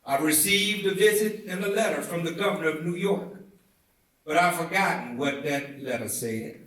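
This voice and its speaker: A man giving a dramatic, oratorical reading of a speech, with deliberate, measured phrasing and emphatic swells. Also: on the high side, fairly bright tone. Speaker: reader at a commemorative event. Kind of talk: dramatic reading of a historic civil rights speech. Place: indoor theatre event, heard from a distance. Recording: echoey indoor hall, far from the mic.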